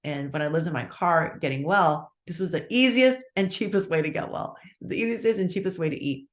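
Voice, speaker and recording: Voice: medium pitch, brisk. A speaker in their fifties runs a live online sales talk at an easy, conversational pace. They lay out each price in turn and keep checking in with "okay?" and "all right?". The tone stays upbeat and enthusiastic when they talk about their own results. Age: 50s. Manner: chatty, walks through prices step by step, checks in with "okay?". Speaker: webinar presenter selling a meal plan. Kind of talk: casual live sales pitch.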